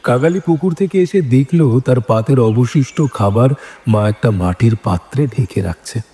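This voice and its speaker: A man reading scripted literary narration as a storyteller, in a measured manner. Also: medium pitch, warm tone. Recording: music bed behind the voice.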